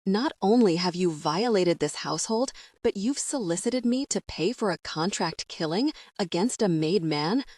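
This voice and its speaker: A woman's voice: medium pitch, animated, moderate pace, few pauses, darkish tone, no echo, close to an everyday voice. A woman voicing a hot-tempered young male mob heir who talks bluntly and swears in an audiobook. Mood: furious. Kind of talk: audiobook character reading.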